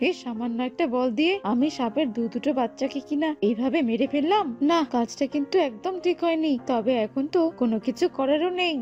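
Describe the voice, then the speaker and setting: A woman's voice: sits high, dark tone, muffled recording, lightly acted. A woman voicing an anxious, devoted father fox in a cartoon, with fretful exclamations. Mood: regretful. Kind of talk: cartoon dub acting. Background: music.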